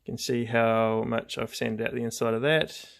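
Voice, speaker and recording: A man's voice: medium pitch, steady tone, moderate pace, vocal fry, heavy background noise. A man giving a casual, hands-on craft demonstration, explaining each step in an informal, laid-back way. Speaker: craft tutorial host.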